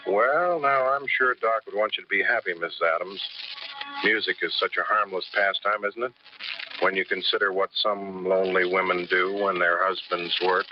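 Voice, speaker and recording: An actor playing a scheming, folksy small-town grocer with insinuating talk, in old-time radio style. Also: male voice, deep voice, steady tone, normal speed, music in the background, lightly acted. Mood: insinuating, pushy. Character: scheming, smooth-talking small-town grocer with veiled menace. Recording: muffled old radio recording, heavy noise.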